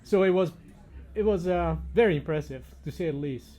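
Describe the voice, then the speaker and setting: A man's voice: fairly high pitch, brisk, mellow. A man speaking casually and appreciatively as an on-location interviewer at an audio show. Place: demo room at an audio show. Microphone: binaural microphone.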